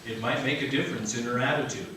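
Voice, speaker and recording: A man's voice: medium pitch, quick pace, bright, forceful tone, close to an everyday voice. An actor playing an exasperated, scheming middle-aged husband and father in a stage radio comedy. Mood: exasperated, firm. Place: live radio theater stage. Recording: echoey theater, far mic, noisy.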